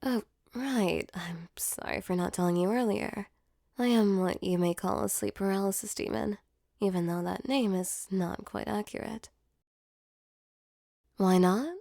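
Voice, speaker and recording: A woman plays a female sleep paralysis demon in an intimate audio roleplay. Her voice is soft and mid-pitched with a noticeable fry. She is a teasing monster with a tender, apologetic side, heard up close in a very dry space. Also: a little slow, no echo. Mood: gentle, earnest.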